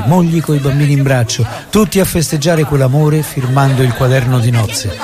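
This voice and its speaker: A man's voice: mid-range voice, close to an everyday voice. Actor as reverent male storyteller, mid voice, stage narration, music and crowd noise behind. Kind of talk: theatrical scripted narration.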